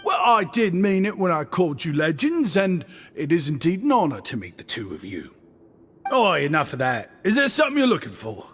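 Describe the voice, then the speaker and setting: A young man minding a shop talks in an eager, effusive, slightly fawning way. His voice sits a touch high and moves animatedly. Soft game music runs behind. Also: sing-song, fairly quick. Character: friendly young male shop assistant, flattering, chatty. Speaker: shopkeeper's stand-in. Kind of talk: video game voice acting.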